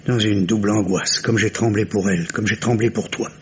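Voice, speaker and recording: A dull, hissy old radio recording carries a low-voiced, anguished man played by a male actor. He speaks gravely and earnestly, torn between love and suspicion, in theatrical 1950s mystery style. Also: fairly even intonation, fairly quick, natural voice.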